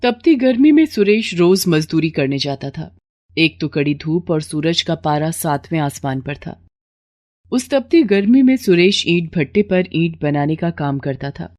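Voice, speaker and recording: A woman's voice: sits low, big pitch swings, unhurried, darkish tone. A woman narrating a scripted moral story as a storyteller.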